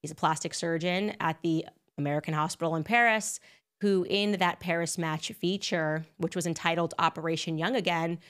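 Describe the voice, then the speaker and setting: A woman's voice: on the low side, fairly even intonation, moderate pace, a bit bright, smooth. A woman host giving a casual, emphatic monologue, full of asides and fillers. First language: English.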